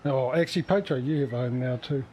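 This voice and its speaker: A man hosts a livestream in a casual, rambling way. He speaks hesitantly at a middling pitch, circling back to correct himself. A faint noise sits behind his voice. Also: fast talker, a little mellow, rough recording. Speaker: livestream host.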